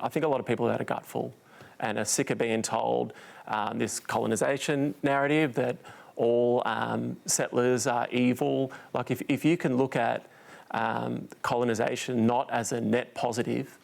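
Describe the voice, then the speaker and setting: A man with a gravelly, creaky voice talks as a guest in a studio discussion. He delivers his views firmly and in a measured way. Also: mid-range voice, little pitch movement. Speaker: panel guest.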